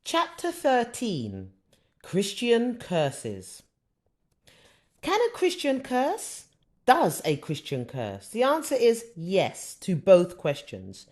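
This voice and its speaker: Female audiobook reader for faith-based teaching text; animated, wide-ranging pitch, deliberate and emphatic delivery.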